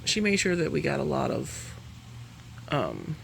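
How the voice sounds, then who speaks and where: A woman vlogger talks casually through a makeup review, her pitch swinging up and down as she chats. Her voice has a gravelly fry, and she rambles and corrects herself as she goes. A faint noise sits behind her. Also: huge pitch swings, a little slow, low-quality recording. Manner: chatty, rambling, self-correcting; laughs between sentences. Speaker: beauty vlogger.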